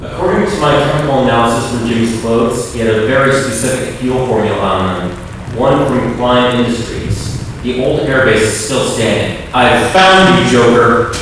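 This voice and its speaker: A man's voice: medium pitch, normal speed, lightly acted. A man playing an earnest young vigilante hero in a radio drama, speaking with urgent, dramatic intensity. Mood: determined. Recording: noisy rain and traffic bed.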